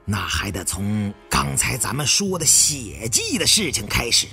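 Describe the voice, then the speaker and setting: A man voicing a long-winded, bookish adviser who explains ancient lore in a measured audiobook style. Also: mid-range voice, big pitch swings, vocal fry, sharp tone, soft background music, heavily acted. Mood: explanatory. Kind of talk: audiobook character acting.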